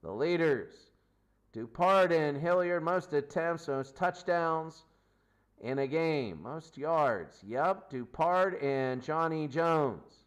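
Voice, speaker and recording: A man's voice: fairly high pitch, expressive, takes it slowly, clean tone, lo-fi sound. A man giving an unscripted sports stats recap as host, listing figures in short, clipped phrases. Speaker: sports show host and commentator.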